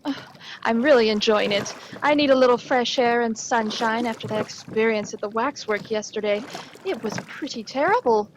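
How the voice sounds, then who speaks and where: A woman's voice: a little high, moderate pace, lightly acted. An actress playing a genteel, inquisitive lady companion in an old-time radio play, polite and earnest. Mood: light, polite. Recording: live stream with faint noise.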